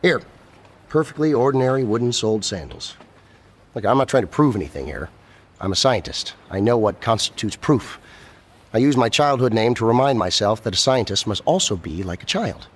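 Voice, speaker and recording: An actor playing a gentle, earnest, eccentric male scientist in a radio comedy, husky and sincerely matter-of-fact about absurd things. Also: lowish voice, animated, brisk. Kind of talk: radio comedy drama acting.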